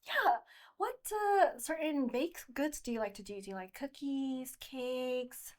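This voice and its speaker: A woman's voice: sits high, lively intonation, takes it slowly. A woman hosting a pageant interview, chatty, laughing often and restarting her phrases. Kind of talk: casual interview chat.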